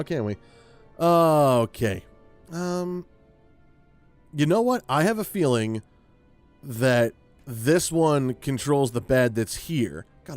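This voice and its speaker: Male gaming host, mid-pitched, chatty, rambling, casual play-along commentary, faint music behind. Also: darkish tone. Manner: chatty, rambling, thinking aloud.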